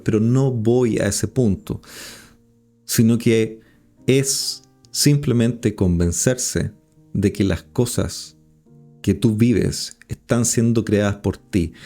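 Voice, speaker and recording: A man from Chile, giving a reflective podcast monologue in a measured, musing way and often pausing on rhetorical questions. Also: lowish voice, warm tone. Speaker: podcast host.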